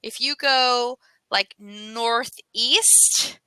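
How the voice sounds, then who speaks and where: A woman's voice: a little high, very slow, sharp tone, smooth, dry recording, rough recording. A woman with a Southern American accent, tutoring English over a video call in a friendly, chatty way that often breaks into laughs. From Southern United States. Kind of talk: casual video-call lesson.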